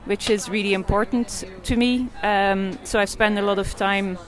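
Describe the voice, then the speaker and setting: A woman from Belgium in her forties answers interview questions about her art and photography. She talks haltingly, with frequent fillers and restarts. Her pitch stays level, with very little rise and fall. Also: fairly even intonation. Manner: hesitant, many fillers and restarts. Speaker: artist and photographer.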